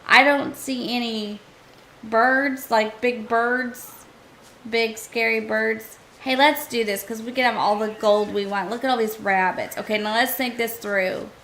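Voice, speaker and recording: Woman game streamer, casual running commentary, bright tone, a touch hoarse. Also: fairly high pitch, fairly even intonation, measured pace, slight background noise, low-quality recording. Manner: chatty, thinking aloud, talks to chat. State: hoarse, losing her voice.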